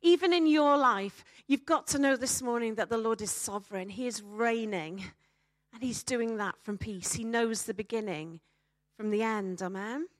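A woman with a Welsh accent preaching a sermon to a congregation, speaking emphatically with rhetorical questions and dramatic pauses. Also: on the high side, no room sound.

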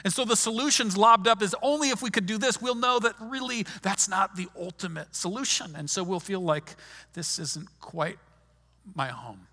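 A man's voice: high-pitched, normal speed, sharp tone. A man preaching a sermon in a conversational way, often restarting his sentences, recorded in a large hall. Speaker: pastor. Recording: long hall reverb.

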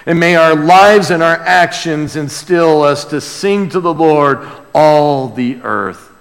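Slow, deliberate male preacher in a hall. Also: a little high. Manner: earnest, rhetorical questions, swelling from hushed to emphatic. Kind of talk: sermon and prayer to a congregation. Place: large church room or hall. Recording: reverberant hall sound.

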